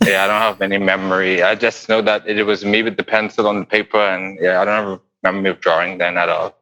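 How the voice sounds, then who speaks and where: A man in his forties from the Bahamas, answering interview questions as a guest artist in a thoughtful, unhurried way. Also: sits low, level tone, bright, forceful tone, low-quality recording. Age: 40s. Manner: thoughtful, unhurried, with pauses and restarts.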